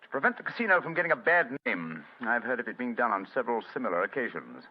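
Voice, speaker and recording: An actor playing a shrewd gentleman detective, brisk and authoritative, in vintage radio drama style. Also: medium pitch, sing-song, breathy edge. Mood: confident. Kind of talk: 1940s radio acting. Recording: muffled old radio recording.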